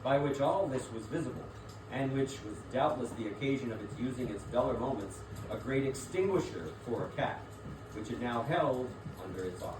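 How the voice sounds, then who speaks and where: A man's voice: medium pitch, animated, normal speed. A man narrating a classic story as scripted theatrical reading. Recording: distant echoey room, clearly noisy.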